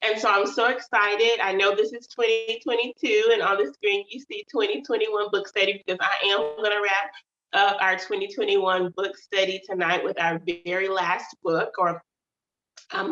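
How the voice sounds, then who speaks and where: A woman's voice: mid-range voice, little pitch movement, normal speed, a bit bright, reverberant, muffled recording. A woman hosting her own channel, chatting casually to her audience, calling them "y'all," and sounding slightly nasal from a stuffy nose. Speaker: author and channel host. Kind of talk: casual livestream chat.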